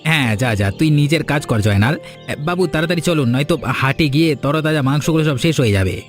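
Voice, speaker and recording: An officious cartoon manservant, acted by a man, who tattles and bullies. He rattles along quickly in a slightly high-pitched voice, with music underneath. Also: animated, natural voice. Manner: scolding, officious. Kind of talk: Bengali fairy tale cartoon voice acting. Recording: music behind the voice.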